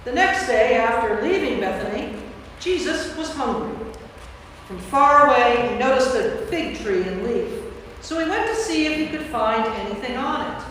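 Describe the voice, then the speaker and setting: A woman's voice: a little high, expressive, normal speed, fairly bright tone. Distant woman narrator in a cavernous echo. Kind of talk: scripted staged Bible reading. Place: large reverberant church. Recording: echoey church from far off, faint noise.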